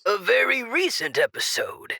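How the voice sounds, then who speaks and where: Bright, crackly, teasing radio host, acted out. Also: mid-range voice, very animated, normal speed, creaky, dry recording, full character voice. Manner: playful, showy, teasing. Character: chirpy, teasing radio show host, faintly menacing. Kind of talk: audio drama in radio show style.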